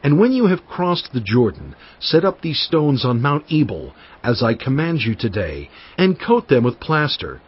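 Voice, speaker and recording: A man playing a solemn, commanding Hebrew prophet and lawgiver in a dramatized audio Bible, declaiming deliberately to his people. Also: medium pitch, very animated, breathy edge. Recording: dull band-limited audio over music. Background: background music.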